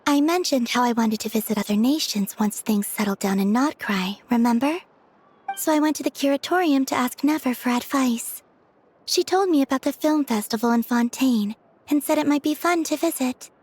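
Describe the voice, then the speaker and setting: An actress voicing a gentle, dreamy, soft-spoken young woman. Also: high voice, normal speed, husky.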